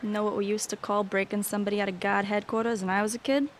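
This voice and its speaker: A woman's voice: mid-range voice, little pitch movement, quick pace, a little hiss or hum, lightly acted. A woman playing a foul-mouthed, streetwise young queen in a fantasy audio drama, blunt, sarcastic and slangy. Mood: sardonic, brisk.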